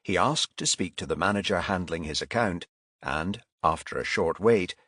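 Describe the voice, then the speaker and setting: A man's voice: sits low, normal speed, a bit bright, slightly rough, no echo, studio quality. A man reading a crime novel aloud as an audiobook narrator, in a scripted storytelling style.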